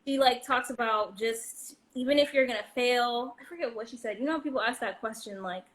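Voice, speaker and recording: A woman's voice: a little high, brisk, a bit bright, a little hiss or hum. A woman in her late twenties talking as a guest in casual chat, loose and heartfelt with many likes and restarts. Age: 20s.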